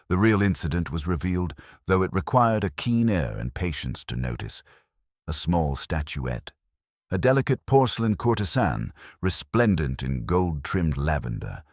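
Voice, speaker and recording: A man with an extremely deep voice narrates a scripted mystery story. His intonation moves about a good deal within a measured, literary delivery. The sound is close and dry, with little room to it. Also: no room sound.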